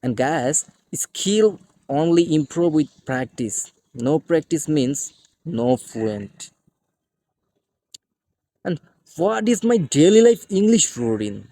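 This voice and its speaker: A man's voice: fairly high pitch, animated, takes it slowly, dark tone. A man from Bangladesh with a Bengali accent and Bengali as his first language, giving a scripted English lesson and often calling out to his "dear friends". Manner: repeated "my dear friends" addresses. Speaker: English teacher.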